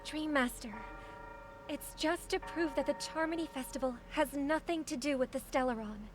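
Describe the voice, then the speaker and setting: An actress playing a gentle, poised young female singer in a video game, earnest, polite and deferential. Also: high-pitched, moderate pace, background noise, natural voice. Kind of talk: scripted video game acting.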